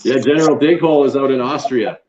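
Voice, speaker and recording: A man's voice: mid-range voice, quick pace, highs cut off, background noise. A man hosting a casual live chat with guests over a video call, talking in an informal, encouraging way and calling people dude. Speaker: livestream host. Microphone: internet video call.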